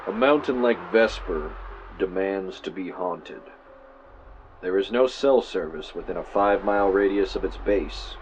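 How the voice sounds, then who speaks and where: A muffled field tape carries a male podcaster with a fairly low voice. He narrates like a campfire storyteller in an audio drama, theatrical with wry asides. Faint vehicle noise sits behind his clip-on mic. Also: unhurried. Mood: ominous, dramatic. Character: male podcaster dramatically recording a spooky true-crime investigation. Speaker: podcast host character. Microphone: mic clipped to shirt.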